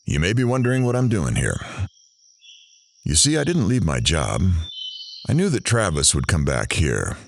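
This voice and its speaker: A very low, swooping male voice, acted by a man as a snide reporter narrating an audio drama. He talks in sardonic, impatient asides. The recording has some background noise. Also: wildly swooping pitch, normal speed, creaky, pauses often, heavily acted. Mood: musing. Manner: sardonic, conversational, theatrical asides.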